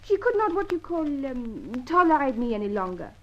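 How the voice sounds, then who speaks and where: High, coy French dancer girl. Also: big pitch swings, normal speed, fairly bright tone, heavily acted. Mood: pouting. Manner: coy, pleading, broken English. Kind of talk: old-time radio serial acting. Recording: dull lo-fi old radio recording.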